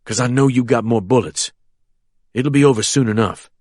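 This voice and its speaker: A man's voice: medium pitch, normal speed, darkish tone, no room sound, clean studio sound, lightly acted. Man doing a menacing young man. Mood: cold. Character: menacing young Southern man, cold and threatening.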